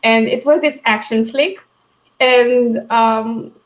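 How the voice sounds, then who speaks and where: Quick, laughing woman. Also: fairly high pitch, dull audio, faint music behind. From Romania. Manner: lively, laughs often, quick asides. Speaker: co-host. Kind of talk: casual video chat.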